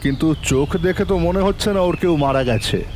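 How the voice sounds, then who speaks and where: A man playing a stern police inspector in an audio drama, questioning briskly and probingly. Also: fairly high pitch, darkish tone, a little hiss or hum, lightly acted. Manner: brisk, interrogating. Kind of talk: Bengali audio drama acting.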